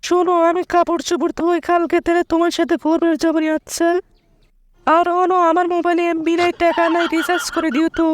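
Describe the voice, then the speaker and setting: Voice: very high-pitched, completely flat delivery, fast, dry recording, studio quality, pitched above the performer's own voice. A performer voicing a flighty young cartoon woman with a Bangladeshi accent, whiny and evasive, in colloquial rural speech. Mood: impatient, evasive. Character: flighty young woman two-timing her boyfriends with excuses. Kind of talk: comedy cartoon dialogue. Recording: music under the voice.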